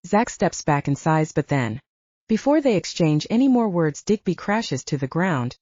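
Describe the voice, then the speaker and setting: Mellow, expressive woman narrating fiction. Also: fairly low pitch, moderate pace, dry recording, lo-fi sound. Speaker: audiobook narrator. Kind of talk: scripted dramatic fiction narration.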